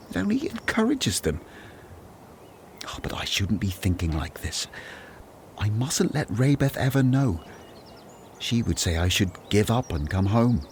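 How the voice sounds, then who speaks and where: An actor playing a weary, anxious, grumbling former tax collector turned disciple in a radio play, brooding and earnest. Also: male voice, medium pitch, slight background noise. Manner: brooding, earnest, self-doubting.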